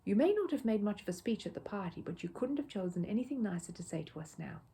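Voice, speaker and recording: A woman's voice: medium pitch, lively intonation, quick pace, mellow, slight background noise, lightly acted. A woman voicing a tender, anxious mother in a children's storybook, with a wistful, sighing manner.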